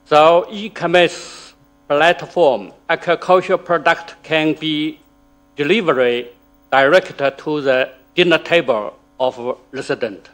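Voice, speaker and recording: A man whose first language is Chinese delivers a formal conference talk at a very slow, halting pace, pausing on fillers. His voice sits a little high. A steady mains hum runs under the lecture-hall recording. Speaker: conference speaker. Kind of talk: formal academic presentation.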